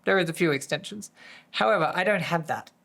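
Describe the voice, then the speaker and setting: Hoarse streamer, casual live chat, rambling, easily sidetracked, many restarts. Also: mid-range voice, fairly quick, a bit bright. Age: adult, working full-time. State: voice going hoarse.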